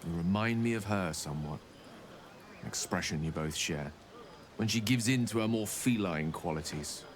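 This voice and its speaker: An actor playing an eloquent wizard who reminisces wistfully in a video game. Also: male voice, deep voice, normal speed, a little hiss or hum, close to an everyday voice. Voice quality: eloquent, theatrical.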